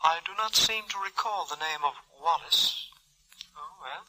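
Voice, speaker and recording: An actress in a 1940s radio drama playing a haughty, mystical fortune teller with formal, theatrical pronouncements. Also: female voice, low-pitched, normal speed, a crowd in the background, lightly acted, low-quality recording. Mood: grandly formal.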